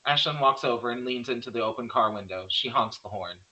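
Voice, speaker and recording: A man's voice: mid-range voice, a little fast, bright, forceful tone, lo-fi sound. A man narrating stage directions in an amateur screenplay table read, sometimes stumbling and correcting himself.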